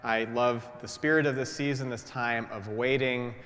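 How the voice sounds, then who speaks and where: A man's voice: mid-range voice, steady tone, normal speed, fairly bright tone, faint music behind. Male priest in his 30s, congested, chatty sermon delivery with filler words; pulpit mic, long church reverb. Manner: conversational, many fillers and restarts. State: congested from a head cold. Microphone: gooseneck pulpit mic.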